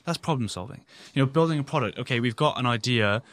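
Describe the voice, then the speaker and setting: Man host, fast-paced, emphatic and explanatory. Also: medium pitch, fairly bright tone. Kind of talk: conversational interview.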